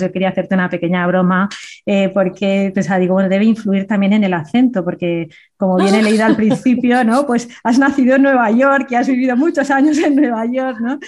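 A woman hosting an interview, affirming her guest and restarting phrases as she works through her thoughts. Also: medium pitch. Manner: warm affirmations, hesitant restarts. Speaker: host. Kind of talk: conversational interview.

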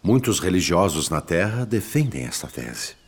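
A man's voice: deep voice, big pitch swings, moderate pace, breathy edge, heavily acted. An actor playing a dissatisfied, inquisitive male spirit in a radio drama. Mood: questioning.

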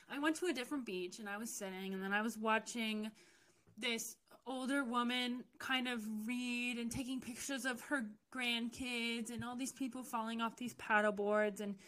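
A woman's voice: fairly high pitch, a bit bright. A young woman from the US telling personal stories in a chatty, candid way, with frequent fillers and self-corrections. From United States. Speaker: podcast host. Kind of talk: casual personal storytelling.

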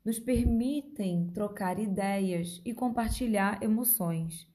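A woman with a Brazilian Portuguese accent, narrating a scripted educational podcast as a language teacher, phrasing deliberately for learners. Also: medium pitch, warm tone.

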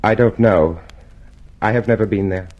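An actor playing an anxious, obedient party worker under surveillance in a radio drama, guarded and dutiful. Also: male voice, on the low side, normal speed, dull audio, close to an everyday voice.

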